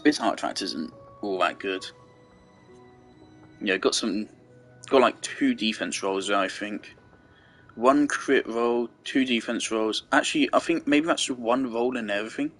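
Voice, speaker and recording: A man's voice: medium pitch, measured pace, fairly bright tone, faint music behind. A man giving casual gameplay commentary, rambling and thinking aloud as he goes. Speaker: game streamer.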